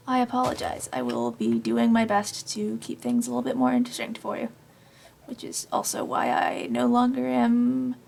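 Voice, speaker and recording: Raspy, gravelly-voiced woman playing Minecraft. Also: on the high side, a little mellow, slight background noise. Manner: rambling, self-deprecating, talks to herself while playing. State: slightly raspy from long talking. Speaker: gaming channel host. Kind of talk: casual Minecraft let's play commentary.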